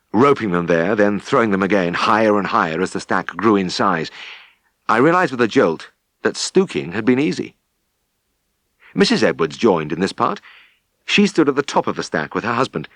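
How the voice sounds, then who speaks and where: British guy reading an audiobook memoir, lowish voice, really dry studio sound, measured storytelling. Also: dry recording. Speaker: audiobook narrator. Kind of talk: scripted narration of a memoir.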